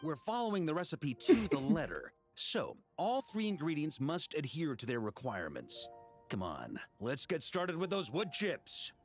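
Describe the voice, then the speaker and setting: An actor playing an easygoing, boastful veteran knight commander in a video game, telling tales with theatrical self-assurance. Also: male voice, on the high side, huge pitch swings, moderate pace. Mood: jovial, persuasive. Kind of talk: English video game voice acting. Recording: game music behind the voice. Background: game music.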